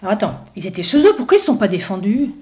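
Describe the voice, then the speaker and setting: A woman's voice: medium pitch, sing-song, rapid-fire, a little mellow, natural voice. A woman voicing a stubborn shepherd who defends his homeland, indignant and incredulous, with lots of hesitations. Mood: skeptical.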